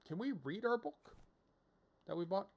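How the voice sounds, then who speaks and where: A man's voice: high voice, lively intonation, a little slow. A man giving casual livestream game commentary, rambling as he thinks aloud. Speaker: game streamer.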